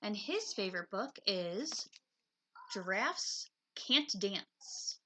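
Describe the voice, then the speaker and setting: A woman reading a children's picture book aloud with expressive, dramatic emphasis. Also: mid-range voice, takes it slowly, a little hiss or hum. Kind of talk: expressive children's story narration.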